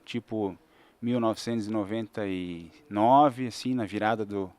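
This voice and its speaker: A man's voice: fairly low pitch, measured pace, a little mellow. A man in his forties from southern Brazil, a fitness trainer chatting as a podcast guest. He explains things at length in an easygoing way, with restarts and fillers. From Santa Catarina, Brazil. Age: 40s. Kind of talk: casual interview chat.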